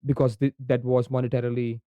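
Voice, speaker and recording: Pakistani man explaining, mellow smooth voice. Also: medium pitch, little pitch movement, quick pace, no room sound. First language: Urdu. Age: young adult. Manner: frequent ums and repeated "right?" tags. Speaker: podcast guest. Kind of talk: conversational explanation.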